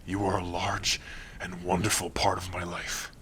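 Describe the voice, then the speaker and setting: A man acts out a protective orc lover in an ASMR roleplay. The voice is low and forceful, yet hushed and tender, speaking closely to one listener with halting earnestness. Faint rain noise sits behind it. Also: flat delivery. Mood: tender, earnest. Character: protective male orc warrior lover, gruff but tender.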